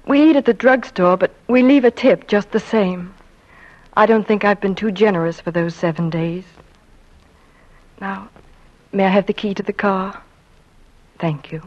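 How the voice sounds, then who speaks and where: An actress playing a proud, loyal young American wife from a small town in an old radio drama, composed and plainspoken. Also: female voice, medium pitch, a little slow, pauses often, muffled recording, close to an everyday voice. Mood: hurt, controlled.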